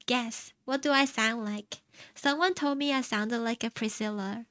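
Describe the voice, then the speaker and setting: A young woman from China chatting casually on stream, answering her chat in a soft, rambling way and laughing often. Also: fairly high pitch, a little slow, no room sound. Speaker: VTuber streamer. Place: home streaming setup.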